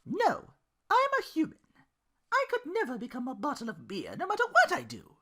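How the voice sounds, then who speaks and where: A woman voicing a cranky, sharp-tongued young woman who snaps when woken, in a story read aloud. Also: wildly swooping pitch, brisk, full character voice. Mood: amused. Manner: snappish, shrill.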